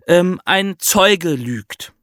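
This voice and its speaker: A man's voice: high voice, expressive, drawn-out words, a bit bright, no room sound, studio quality. A man hosting a podcast, explaining things to his co-hosts in a conversational way with examples. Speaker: podcast host. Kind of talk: conversational true-crime explainer.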